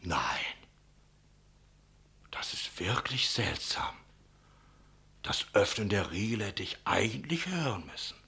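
A man's voice: fairly low pitch, sing-song, slow, creaky, long pauses, bright, forceful tone, heavily acted. An actor playing an anxious, talkative innkeeper in a German radio play, telling his account dramatically and deferentially. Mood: uneasy, explaining. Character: anxious, talkative male innkeeper giving testimony.

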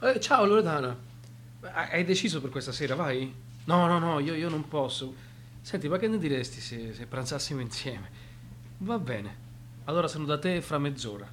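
Radio drama: troubled, evasive young Sicilian doctor, halting everyday speech, slightly high register, light background hum. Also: male voice, animated, a bit bright. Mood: casual. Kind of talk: naturalistic radio drama dialogue. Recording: faint hum and noise.

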